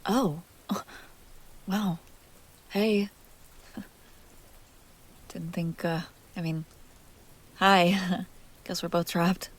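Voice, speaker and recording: A woman playing a jealous, hurt young woman confronting her crush in an intimate audio roleplay, halting, sarcastic and emotionally raw. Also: lowish voice, steady tone. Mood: flustered, guarded.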